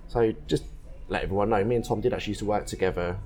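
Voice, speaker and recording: Male podcast host, hoarse and gruff, quick talker, relaxed chatty interviewer, slightly low voice. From England. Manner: chatty, relaxed, with filler words. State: croaky, gruff from throat infection. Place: co-working space bar.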